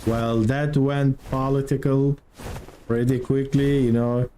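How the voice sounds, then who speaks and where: Slow, flat-voiced man with Turkish accent. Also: medium pitch, a little hiss or hum. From Turkey. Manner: chatty, answers viewers, halting non-native English. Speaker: game streamer. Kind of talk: live stream chat while playing.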